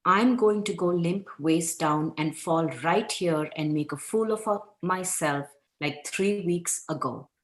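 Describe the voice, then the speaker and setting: A woman's voice: lowish voice, measured pace, few pauses, close to an everyday voice. A woman reading the part of an anxious but determined teenage girl athlete in a play script. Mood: worried. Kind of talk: table read of a play script.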